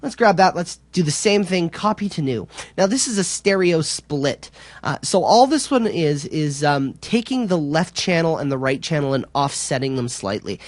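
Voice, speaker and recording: A man giving an informal, somewhat halting software tutorial as an instructor. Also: on the high side, lively intonation, no room sound.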